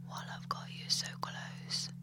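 A young woman playing a domineering, cruel bully in an audio roleplay, taunting and crude, swinging between shouts and close whispers. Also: high voice, background noise.

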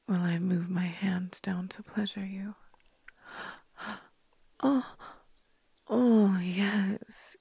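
A doting, motherly winged creature with a tail, played by a woman whispers in a husky, breathy hush, slow and coaxing. Her voice is dark and mellow with a gravelly edge, close and dry. It is an intimate ASMR roleplay. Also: mid-range voice, no room sound, heavily acted. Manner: soft, soothing, coaxing whisper.

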